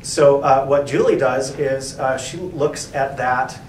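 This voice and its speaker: A male professor giving a casual, thoughtful talk at a library event, pausing often with fillers. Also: medium pitch, darkish tone. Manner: thoughtful, hesitant, many fillers. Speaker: professor, co-editor. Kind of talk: conversational academic talk. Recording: echoey room, distant mic, background noise.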